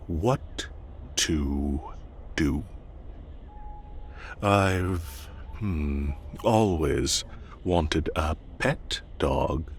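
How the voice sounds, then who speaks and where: A man's voice: extremely low pitch, a little hiss or hum, exaggerated voice. A man playing a haughty, teasing fae forest king in an audio roleplay, with a condescending, theatrical drawl. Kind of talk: audio roleplay acting addressed to the listener.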